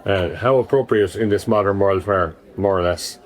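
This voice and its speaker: A man with a fairly low voice co-hosts a podcast. He chats casually and has a wry, dry manner. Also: moderate pace. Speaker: podcast host. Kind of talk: casual chat.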